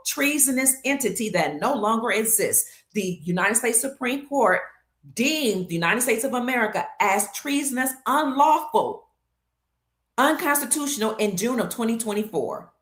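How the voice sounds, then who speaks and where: Emphatic woman host lecturing in a roomy space. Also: mid-range voice. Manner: emphatic, declarative, stacks words in lists; leans hard on key words, slows for stress. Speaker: show host. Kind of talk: monologue lecture to viewers. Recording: roomy echo.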